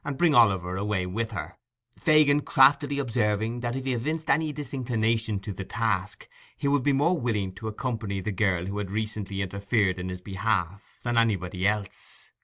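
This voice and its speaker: A man narrating classic fiction as a scripted audiobook, in a measured, articulate storytelling manner. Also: fairly low pitch, fairly bright tone.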